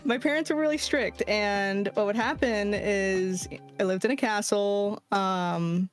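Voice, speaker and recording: A woman's voice: mid-range voice, unhurried, faint music behind. A woman with a Boston accent, a guest chatting casually about her character's backstory, full of fillers and casual swearing. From near Boston, US East Coast. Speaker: guest, VTuber.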